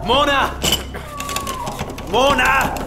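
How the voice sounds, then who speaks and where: An actor playing an investigator who urgently calls out in a horror audio drama. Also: male voice, extremely high pitch, fairly even intonation, very slow, heavily acted. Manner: urgent calling. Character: male investigator urgently calling out for a woman. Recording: loud noise behind voice.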